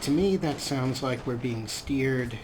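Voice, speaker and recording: A man's voice: mid-range voice, takes it slowly, background noise. A man hosting a game let's play, commenting in a sarcastic, self-mocking way with casual swearing. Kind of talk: gameplay commentary.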